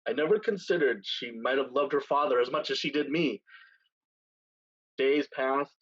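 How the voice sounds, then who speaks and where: A man plays a seasoned pirate captain with a husky voice, heard on a slightly muffled recording. He speaks solemnly and deliberately, leaving weighty pauses as in a stage drama, weary of command yet tender. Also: medium pitch, fairly bright tone. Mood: rueful storytelling. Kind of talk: staged reading of a stage play.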